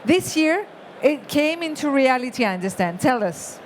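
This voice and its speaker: A woman in her forties hosting on an expo hall stage, rousing the audience in a lively way. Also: sits high, moderate pace, slight background noise. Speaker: stage host. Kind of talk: event hosting.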